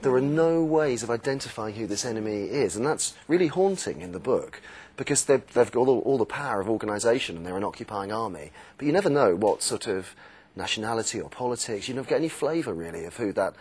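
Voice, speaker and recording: A man's voice: medium pitch, expressive, fast talker, darkish tone, husky. A middle-aged man discussing a book on a panel in a thoughtful, analytical way.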